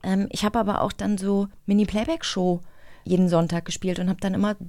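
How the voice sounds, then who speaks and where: A woman's voice: medium pitch, fairly even intonation, a little fast, dark tone, dry recording. A woman speaking as an interview host, in casual, informal studio conversation. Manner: informal, chatty. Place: recording studio.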